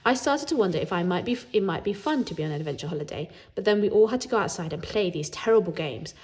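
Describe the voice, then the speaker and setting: A woman reading a children's book aloud in an animated way, as a storyteller who acts out the dialogue. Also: fairly low pitch, fast talker.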